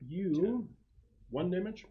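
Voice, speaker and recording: A man's voice: high-pitched, expressive, slow, highs cut off. A man running a tabletop roleplaying game as game master, casually narrating rules and action. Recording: noisy home recording with clicks.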